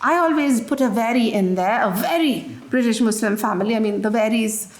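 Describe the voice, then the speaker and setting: A woman with a fairly high voice gives a public lecture in a hall. She talks in a loose, conversational way, restarting phrases as she goes. The room rings with noticeable echo. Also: brisk. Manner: conversational, with frequent "you know" and restarts.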